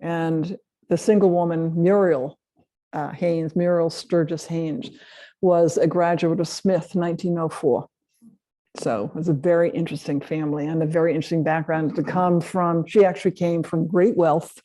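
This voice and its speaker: A woman with a fairly low, mellow voice gives a history talk and answers questions from a live audience. She speaks conversationally and keeps affirming listeners with quick "yeahs". She often breaks off and restarts her sentences. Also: fairly even intonation, poor audio. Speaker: presenter of a local history talk. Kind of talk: lecture and audience Q&A. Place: meeting room with a live audience.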